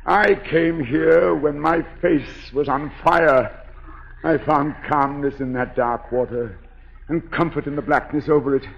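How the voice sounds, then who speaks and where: An actor in a 1940s radio drama playing a mad, disfigured masked composer, theatrical and brooding, obsessively tender. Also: male voice, a little high, steady tone, vocal fry, dull audio. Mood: brooding, eerily tender. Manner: theatrical, slow, brooding speech that swells into frenzy. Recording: echoey 1940s radio broadcast with music.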